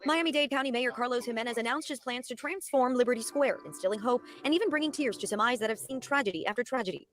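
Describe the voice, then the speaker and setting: A woman's voice: on the high side, fast talker, few pauses. A woman reporter reading scripted news narration.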